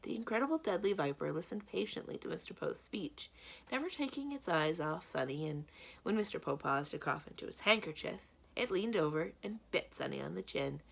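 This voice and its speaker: A woman reading a children's book aloud as a library storyteller, with expressive narration. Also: lowish voice, creaky.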